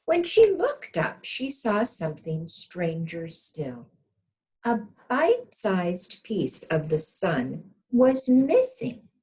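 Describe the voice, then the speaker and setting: A woman reading a storybook aloud as a kindergarten teacher, expressive and pausing to ask young children questions and point things out. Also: mid-range voice, poor audio.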